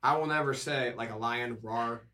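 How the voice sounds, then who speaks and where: A man's voice: medium pitch, moderate pace, background noise. A man from Indiana, co-hosting a podcast in crude, joking banter. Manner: crude, joking, loud bursts. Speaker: podcast co-host. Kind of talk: casual banter.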